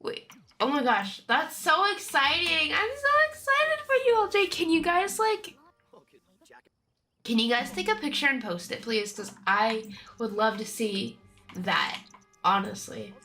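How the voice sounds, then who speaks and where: A young woman streaming a game with casual, chatty commentary, her nose stuffy from a cold and her talk breaking into bubbly bursts of excitement. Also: high-pitched, unhurried, slight background noise.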